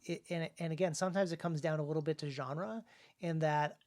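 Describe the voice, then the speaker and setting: A man's voice: a little high, steady tone, a little fast, a little mellow. A man co-hosting a podcast and talking casually about his writing, often repeating words as he goes. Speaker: podcast co-host and author.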